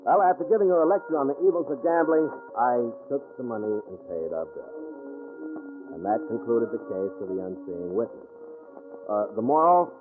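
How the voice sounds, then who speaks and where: On a thin, band-limited old radio recording, an actor plays a tough American private eye. His clipped, slangy delivery swings widely in pitch as he gives orders and wisecracks in classic 1940s radio drama style. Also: expressive, normal speed, phone-quality sound, over music, lightly acted. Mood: wry narration. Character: brisk, tough, wisecracking male private detective. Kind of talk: 1940s radio detective acting.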